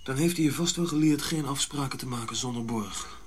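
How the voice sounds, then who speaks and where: An actor playing a brusque, scheming Dutch colonial general with a blunt, commanding manner in a radio drama. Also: male voice, medium pitch, brisk, darkish tone, a little hiss or hum, lightly acted. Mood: scheming, pragmatic.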